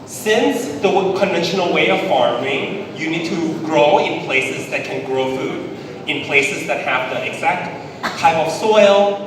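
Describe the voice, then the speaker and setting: A man from Thailand with a Thai accent, giving a public presentation in English as a conference speaker, deliberate and emphatic with repetition. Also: on the high side. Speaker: conference speaker, business executive. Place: large indoor event hall. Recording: very echoey hall, distant, noisy ambience.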